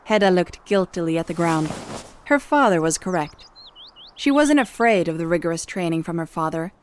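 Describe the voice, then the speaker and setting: A woman's voice: fairly low pitch, animated, moderate pace. A woman reading a scripted story as the narrator of an audio drama. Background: music.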